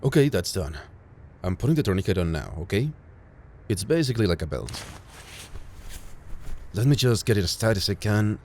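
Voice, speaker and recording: A man playing a calm, caring field medic who reassures a patient in an intimate audio roleplay. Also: deep voice, a little hiss or hum. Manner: gentle, reassuring, matter-of-fact, addressing a patient directly.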